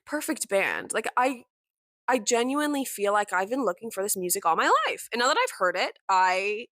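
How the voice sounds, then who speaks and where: Candid young woman with a warm, deeper voice. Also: dry recording, studio quality. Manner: candid and impassioned, with frequent "like" fillers and mild swearing. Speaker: podcast host. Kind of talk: casual personal monologue.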